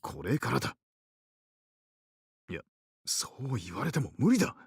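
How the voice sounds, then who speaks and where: An actor voicing a gruff, tired male doctor in a visual novel, speaking in curt, clipped lines. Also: medium pitch, huge pitch swings, fairly quick, no room sound, clean studio sound, full character voice. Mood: brusque. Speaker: clinic doctor.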